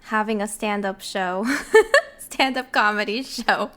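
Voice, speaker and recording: A woman's voice: medium pitch, slow pace, a bit bright. A woman chatting casually as a podcast guest, giggly and often laughing between phrases. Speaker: podcast guest, voice actor and streamer. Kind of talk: casual chat.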